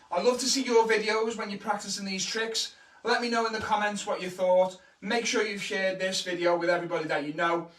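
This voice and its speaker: High-voiced Scouse man teaching magic. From Liverpool, England. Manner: speeds up when excited, chatty and encouraging. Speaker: kids' entertainer teaching magic tricks. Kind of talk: casual live-stream tutorial. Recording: roomy echo, mic at a distance.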